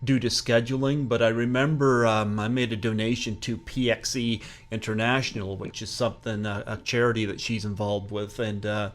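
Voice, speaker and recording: A man hosts an interview podcast in a relaxed, chatty way. He fills his pauses with uh and um and laughs easily. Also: lowish voice. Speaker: podcast host. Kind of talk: casual interview chat.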